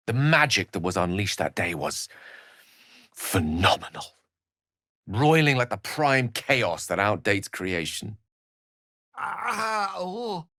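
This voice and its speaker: A learned male wizard from a fantasy video game, speaks in a slightly low voice that swoops expressively. His phrasing is wordy and theatrical, witty yet earnest, with a melancholic streak. The recording is close and dry. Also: fairly bright tone, no echo. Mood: reflective. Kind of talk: video game character voice lines.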